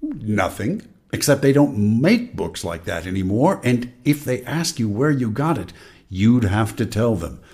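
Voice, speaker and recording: A man's voice: on the low side, big pitch swings, measured pace, a little mellow. An actor playing a man's urgent, warning inner voice in a radio drama, giving clipped, bossy commands. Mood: needling, cautioning. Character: Winston's inner voice, urgent, warning, needling male conscience.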